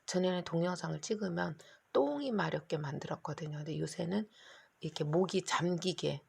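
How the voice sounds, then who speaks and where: Hoarse, gravelly low-voiced middle-aged woman. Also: brisk. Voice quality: gravelly, husky. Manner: rambling, anxious, talks in long run-on stretches. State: hoarse, keeps clearing throat. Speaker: vlogger. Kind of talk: casual monologue to camera. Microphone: phone.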